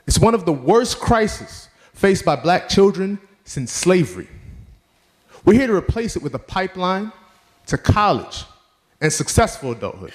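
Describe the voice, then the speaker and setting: A man's voice: high voice, big pitch swings, moderate pace. A man, a leadership trainer, giving an earnest prepared address that reads out statistics and lists. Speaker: youth leadership trainer and presenter.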